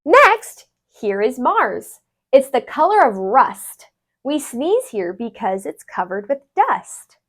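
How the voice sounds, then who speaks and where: A woman tutor reading a rhyming children's book aloud, expressively and deliberately, with sing-song phrasing. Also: high voice, sing-song.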